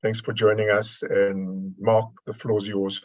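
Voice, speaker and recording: A man's voice: on the low side, flat delivery, slow, a little mellow, soft background music. A man hosting a webinar, introducing the speaker in a casual, hesitant way with a dry joke. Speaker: webinar host.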